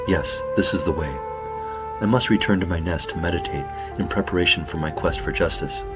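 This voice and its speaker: Zen hawk , male actor, extremely low voice, calm, formal and solemn; kids' audio drama, music underneath. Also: natural voice. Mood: serene, solemn. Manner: zen, measured, formal speech. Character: serene yoga-loving hawk, calm and formal.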